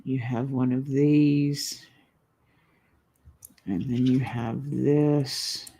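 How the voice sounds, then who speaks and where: A woman's voice: very deep voice, steady tone, drawn-out words, dark tone, breathy edge. Chatty, slightly congested woman. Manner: rambling, chatty, laughs often. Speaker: vlogger hosting a live stream. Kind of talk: casual live-stream chat while building a toy.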